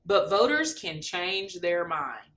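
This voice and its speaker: A woman reading a children's picture book aloud in an expressive, deliberate way, speaking directly to young listeners. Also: fairly low pitch. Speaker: storybook reader. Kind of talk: animated children's read-aloud.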